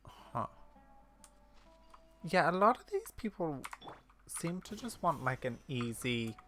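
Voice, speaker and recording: A woman with a very low voice commenting casually as she plays a game. She rambles and reacts with lively intonation, with faint music behind her. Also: slow. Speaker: game streamer.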